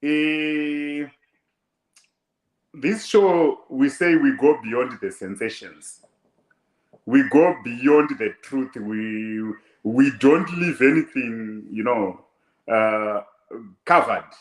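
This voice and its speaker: Zimbabwean host in an echoey room. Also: male voice, medium pitch, drawn-out words. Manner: probing, theatrical questions. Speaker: talk show host. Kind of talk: live interview.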